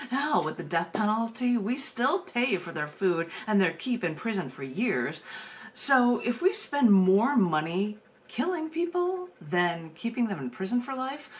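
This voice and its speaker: An American woman speaking as a poet and open mic host, emphatic and conversational, often repeating words haltingly. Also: sits low, fairly bright tone. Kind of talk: spoken-word poetry reading and open mic hosting.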